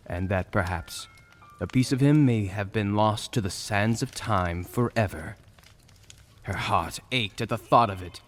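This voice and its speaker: A man narrating a fantasy story in a dramatic, scripted storytelling style. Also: on the low side. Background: soft music.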